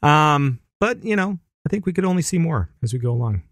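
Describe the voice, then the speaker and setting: Easygoing man with a slightly high voice. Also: very animated, a little fast, fairly bright tone, dry recording, clean studio sound. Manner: easygoing, laughs often, hesitant fillers. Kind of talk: casual podcast chat.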